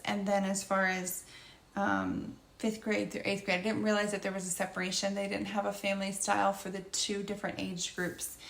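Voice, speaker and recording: A woman's voice: medium pitch, vocal fry. A middle-aged woman with a slightly scratchy voice, giving a casual, unscripted review in a meandering, conversational way as a homeschooling mother. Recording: echoey room with faint background noise.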